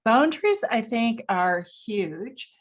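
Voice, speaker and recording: A woman from Japan speaking as an expert guest, explaining points deliberately and emphatically, posing questions and answering them. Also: a little high, big pitch swings. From Japan, grew up there. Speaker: interview guest, business expert. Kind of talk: explanatory interview talk.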